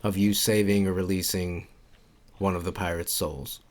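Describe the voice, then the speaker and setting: A man's voice: low-pitched, level tone, moderate pace, soft background music. A man giving casual, instructional walkthrough commentary for a video game, informal and repeating his key points. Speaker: game guide creator and narrator.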